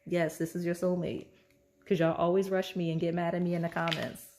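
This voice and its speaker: Hoarse woman reading tarot cards. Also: fairly low pitch, little pitch movement, brisk, noisy background. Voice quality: slight rasp. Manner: chatty, repeats "okay?" often. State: hoarse, losing her voice. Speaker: tarot reader. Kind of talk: casual pick-a-card tarot reading.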